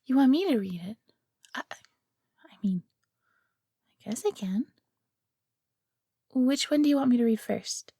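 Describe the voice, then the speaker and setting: A woman's voice: sits high, lively intonation, unhurried, long pauses, dark tone, husky, no room sound, studio quality, full character voice. A woman playing a friendly, nervous fox companion in a fantasy audio roleplay, speaking to the listener in a hesitant, chatty way. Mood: awkward, kind.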